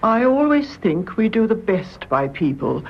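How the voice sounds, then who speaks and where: An actress with a British accent playing an older, composed mother in a radio drama, measured, soothing and genteel. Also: fairly high pitch, very animated, highs cut off, exaggerated voice. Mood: calm, dignified. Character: composed older mother, gentle, dignified peacemaker.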